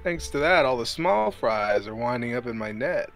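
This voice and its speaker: A man's voice: medium pitch, animated, moderate pace, a bit bright, soft background music. A young man chatting during a video game session and reading character lines aloud in a playful way. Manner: playful, reads dialogue in character. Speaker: gameplay co-host. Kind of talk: casual gaming chat, reading character lines.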